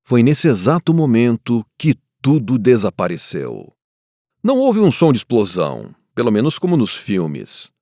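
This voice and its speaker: A man playing a grieving survivor of war, looking back in a solemn, reflective scripted first-person narration with weighty pauses. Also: medium pitch, huge pitch swings, warm tone, no room sound, studio quality. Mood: somber, grieving.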